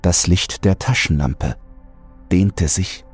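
A man reads a horror story as a dramatic narrator. His voice is extremely deep and his delivery slow, measured and suspenseful. Quiet music plays underneath. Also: breathy edge, no echo. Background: soft music.

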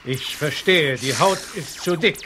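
A man playing a kindly, thoughtful older professor in a German audio drama, with a measured, explanatory manner. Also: a little high, over loud music, natural voice. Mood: explaining.

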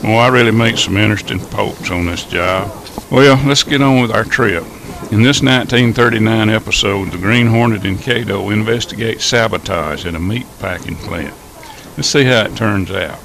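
Male, low gravelly voice, folksy radio host playing train conductor, noisy rumbling background. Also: moderate pace, fairly bright tone. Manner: folksy, hokey. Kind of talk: folksy old time radio comedy skit.

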